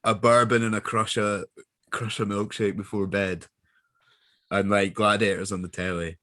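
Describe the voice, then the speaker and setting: A man's voice: fairly low pitch, level tone, moderate pace, fairly bright tone. A young man chatting casually in a jokey, rambling way. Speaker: voice actor, podcast guest. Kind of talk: casual chat.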